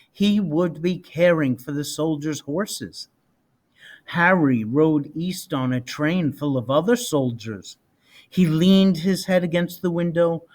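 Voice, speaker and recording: Animated man reading a children's storybook. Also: on the high side. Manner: animated, deliberate. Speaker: storybook reader, teacher. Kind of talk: expressive children's read-aloud.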